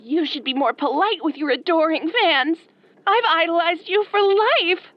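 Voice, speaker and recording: A woman acting as an obsessed young female fan turned killer in a horror audio roleplay, soft-spoken, girlish and pouty, with sweet menace. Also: very high-pitched, moderate pace, dry recording, dull audio. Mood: pouty, indignant. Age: young adult.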